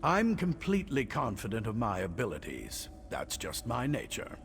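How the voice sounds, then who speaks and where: Haughty, swooping, self-assured magic scholar. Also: male voice, medium pitch, wildly swooping pitch, moderate pace, over music. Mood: confident disdain. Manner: confident, haughty. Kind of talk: video game character acting.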